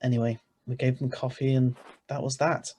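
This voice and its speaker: A man's voice: medium pitch, little pitch movement, normal speed, mellow, breathy edge, close to an everyday voice. A man playing an ordinary, easily flustered married man who narrates his own story in an old-style radio play, read over a video call. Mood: wry storytelling. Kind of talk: 1940s radio acting read aloud.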